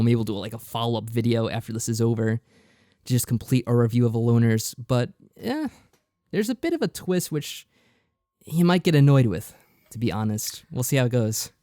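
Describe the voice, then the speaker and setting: A man talks through a review in a casual, explanatory way, as a podcast co-host would. His voice is on the lower side for a man, and the recording is dry and close. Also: a little mellow, no room sound.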